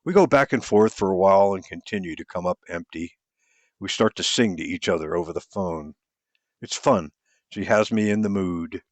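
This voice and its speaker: Male audiobook narrator, lowish voice, first-person romance storytelling, close dry recording. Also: moderate pace, dry recording.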